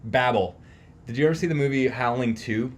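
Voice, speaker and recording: A man's voice: medium pitch, fairly quick, a little hiss or hum. A man chatting casually about music as a podcast host, in an informal, slangy, mildly profane way.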